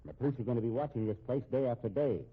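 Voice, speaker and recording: An actor playing an anxious, respectable American husband in a 1950s radio drama, speaking in a measured, pointed way. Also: male voice, mid-range voice, husky, lightly acted. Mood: bitter, pointed. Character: respectable, anxious, shrewd husband held hostage at home. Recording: muffled, tinny old radio recording.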